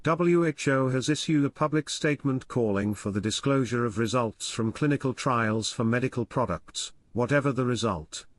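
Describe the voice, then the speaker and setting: A man reading a practice passage aloud in a measured, careful way. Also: mid-range voice, no echo, clean studio sound. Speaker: model reader for exam practice.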